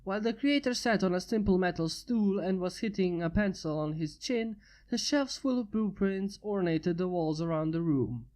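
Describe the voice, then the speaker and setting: A reader narrating a fanfiction story in a steady, plain way. The delivery is even and unhurried, of middle pitch, without a performed voice. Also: low-quality recording. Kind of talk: fanfiction reading.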